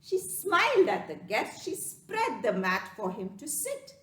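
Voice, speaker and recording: A woman with an Indian accent telling a folktale expressively, with dramatic pauses and emphatic repetition, addressing listeners directly. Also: on the high side, huge pitch swings, a bit bright. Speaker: storyteller. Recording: echoey room sound.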